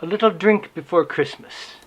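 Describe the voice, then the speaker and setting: A man's voice: sits high, moderate pace, a bit bright, slightly rough, rough recording. A man playing a fussy, critical older boss in a dramatized novel, complaining precisely. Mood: drily pompous.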